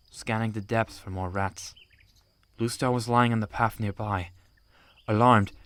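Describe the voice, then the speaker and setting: A man's voice: on the low side, fairly bright tone. A man reading scripted audiobook narration of an animal adventure story.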